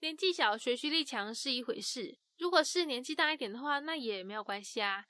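A woman's voice: sits high, animated, brisk, bright, forceful tone, dry recording, studio quality. A woman narrating and explaining an interview clip in a friendly, encouraging way. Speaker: podcast host explaining the clip. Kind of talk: scripted narration.

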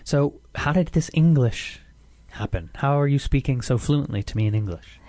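A man's voice: mid-range voice, lively intonation, moderate pace, mellow, dry recording, clean studio sound. A man hosting a casual, conversational interview in an encouraging, supportive way. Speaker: interview host.

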